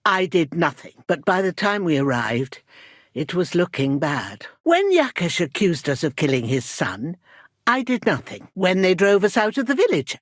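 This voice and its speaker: Weary, embittered village midwife, swooping intonation. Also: female voice, fairly low pitch, big pitch swings, moderate pace, dry recording, clean studio sound. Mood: bitter, grieving. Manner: earnest, emotional, emphatic. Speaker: video game character voice. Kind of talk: dramatic game dialogue acting.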